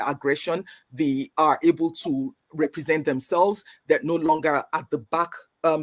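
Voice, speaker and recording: A man speaking on a virtual panel about his organization's community work, earnest and full of restarts. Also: fairly high pitch. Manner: earnest, many fillers and restarts. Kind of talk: panel conversation answer.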